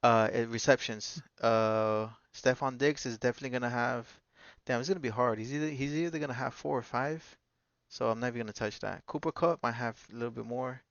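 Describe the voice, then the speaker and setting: A man's voice: medium pitch, a little fast, vocal fry, fairly bright tone, no echo, dull audio. A man in his thirties co-hosting a podcast, rambling confidently through his betting picks. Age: 30s. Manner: confident, rambling, repeats himself. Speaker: podcast co-host. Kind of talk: casual chat about sports bets.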